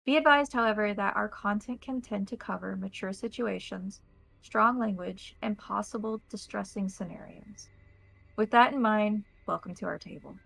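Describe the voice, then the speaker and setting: A woman narrating a tabletop role-playing game as game master, in measured, formal wording. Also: medium pitch, vocal fry, a bit bright, rough recording.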